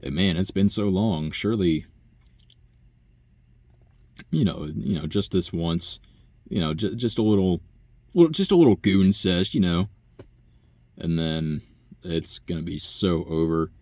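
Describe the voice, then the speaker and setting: Low-voiced young man rambling casually. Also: a little slow, pauses often, a little mellow, dry recording. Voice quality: low buzzy voice. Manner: casual and profane, many fillers and sighs. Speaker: vlogger. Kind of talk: rambling personal monologue.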